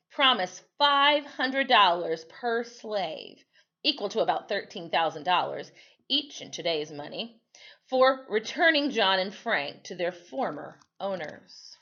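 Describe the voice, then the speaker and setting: A woman reads a history story aloud like a teacher at storytime. Her pitch moves around a lot, giving the telling an animated, expressive feel. The recording is slightly muffled and lo-fi. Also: a little slow. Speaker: storyteller reading aloud to children.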